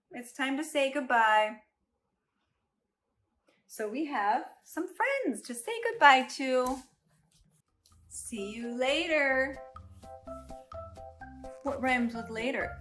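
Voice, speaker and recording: A woman's voice: high-pitched, a bit bright. A woman hosting storytime for young children, talking in a playful, sing-song way and prompting them to join in with questions. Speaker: children's storytime host at a library. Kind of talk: read-aloud and playful talk for young children.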